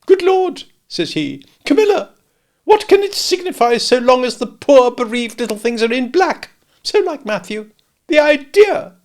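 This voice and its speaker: A man puts on a shrill, affected older lady in a storybook who frets and complains about family propriety. She swoops high with indignation, a gravelly edge under the put-on pitch. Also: big pitch swings, a little slow, heavily acted. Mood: indignant. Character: fussy affected older lady relative, self-pitying.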